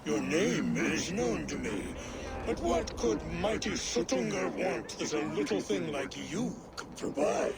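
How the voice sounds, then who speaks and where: An actor playing an imposing, condescending giant in a video game, formal in speech. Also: male voice, sits high, sing-song, measured pace, creaky, exaggerated voice. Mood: haughty. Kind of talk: video game cutscene acting. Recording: loud noise behind the voice.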